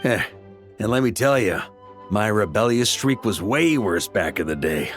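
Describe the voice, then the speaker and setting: A man's voice: fairly low pitch, expressive, normal speed, fairly bright tone, faint music behind. A man playing a jovial, easygoing middle-aged knight commander in a video game, speaking conversationally. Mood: reflective, easygoing. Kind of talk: game voice acting.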